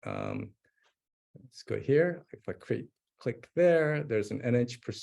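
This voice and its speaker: A man's voice: mid-range voice, wildly swooping pitch, slow pace, creaky, a little mellow. A man presenting a live webinar demo, thinking aloud as he types, with frequent fillers and restarts. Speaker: presenter demonstrating software.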